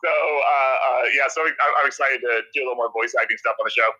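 Nasal, bright-voiced man, stammering chat. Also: mid-range voice, fairly even intonation, dull audio. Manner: halting, many restarts and fillers. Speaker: TV comedy writer, guest. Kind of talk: casual interview chat.

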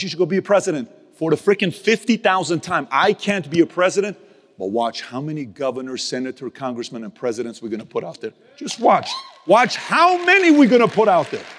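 Man, motivational leader, emphatic and repetitive, very lively delivery, reverberant hall. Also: on the high side. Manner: emphatic, repeats key phrases, swings from loud to hushed; casual street slang and mild cursing. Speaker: business leader speaking to his team. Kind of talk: motivational speech.

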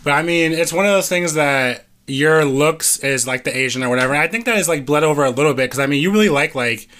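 Man, casual chat, rambly, full of "like", bright and forceful tone, slightly high. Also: quick pace. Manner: rambling, fills speech with "like", restarts often.

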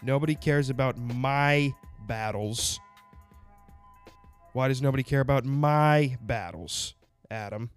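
A man's voice: medium pitch, slow, smooth, faint music behind. A man hosting a casual podcast interview while fighting a cold and a sinus infection. He rambles and jokes sarcastically, full of fillers and restarts. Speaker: podcast host.

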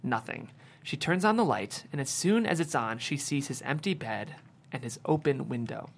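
Evenly pitched screenplay narrator. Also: animated, normal speed, a little hiss or hum. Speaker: stage directions reader at a table read.

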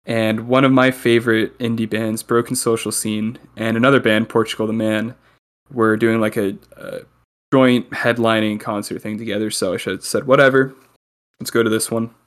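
A man's voice: on the low side, flat delivery, moderate pace. A young man, a guest on a podcast, joking and telling stories in casual chat while recording remotely over a call. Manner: chatty storyteller, joking.

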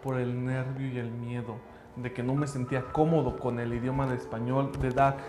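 Man, native English speaker, mid-pitched, earnest motivational school pitch; roomy sound, light music bed. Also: flat delivery, normal speed. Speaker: language school director. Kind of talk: motivational promotional talk. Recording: roomy echo with faint music.

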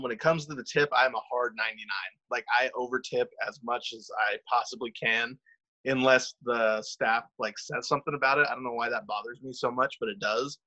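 A man's voice: medium pitch, bright, forceful tone, highs cut off. A man co-hosting a casual sports podcast, chatty and self-deprecating, rambling with fillers. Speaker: podcast co-host. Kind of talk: casual chat.

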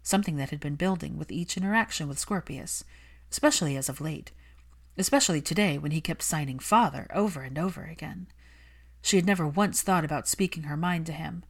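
A woman's voice: sits low, a little fast, breathy edge. A woman reading fiction aloud as an audiobook narrator, in a dramatic scripted style.

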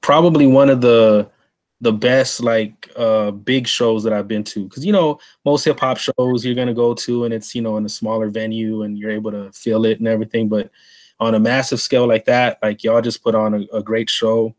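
A man's voice: on the low side, steady tone, moderate pace, a little mellow. A man from Texas with an American accent, interviewing someone in a casual, conversational style. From San Antonio, Texas, USA.

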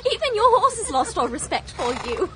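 High, animated young lady. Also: fairly quick, heavy background noise. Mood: indignant, tart. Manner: proper, crisply formal, emotive. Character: prim, spirited young Victorian English lady. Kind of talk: radio drama acting.

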